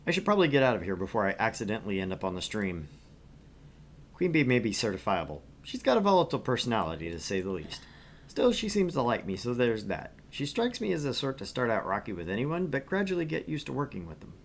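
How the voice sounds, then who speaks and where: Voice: mid-range voice, sing-song, fast, a little hiss or hum. A game streamer reading a visual novel aloud with casual, wry commentary. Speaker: gaming streamer.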